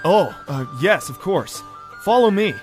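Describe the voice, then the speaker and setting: A man's voice: sits high, expressive, unhurried, soft background music. A man acting a friendly, modest young pony prince in an audio drama, with an easygoing, earnest manner.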